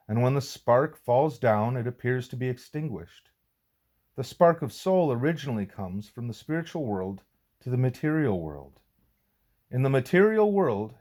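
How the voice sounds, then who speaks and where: A man reading a formal religious text aloud as audiobook narration, with deliberate, measured phrasing. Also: mid-range voice, a little mellow. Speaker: reader of a spiritual text.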